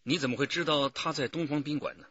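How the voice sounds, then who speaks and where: An actor playing a calm middle-aged detective chief in a radio drama, questioning in a measured way. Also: male voice, fairly high pitch, breathy edge, no echo, highs cut off. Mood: calm, probing.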